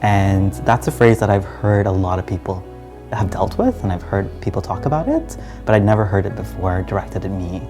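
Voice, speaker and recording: A man's voice: deep voice, moderate pace, music in the background. A young man from Trinidad and Guyana telling his personal story in a reflective, earnest way, as in a documentary interview. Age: young adult.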